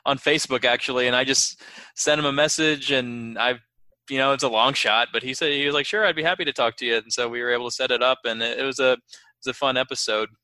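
A man with a mid-pitched, bright and smooth voice hosts a casual interview. He speaks somewhat haltingly, doubling back on words. The recording is dry, with no room sound. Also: fairly even intonation, dry recording. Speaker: podcast host, interviewer.

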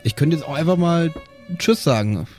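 A man's voice: a little high, animated, moderate pace, a little mellow, faint music behind, voice pushed up, natural voice. A man voicing a flighty, self-interested young speedster hero in an improvised roleplay. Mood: flighty, shrugging.

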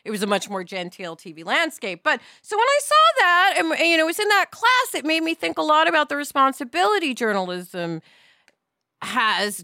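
A woman hosting a casual, reflective discussion in an expressive way, with animated asides. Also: fairly high pitch, dry recording, studio quality. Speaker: podcast host.

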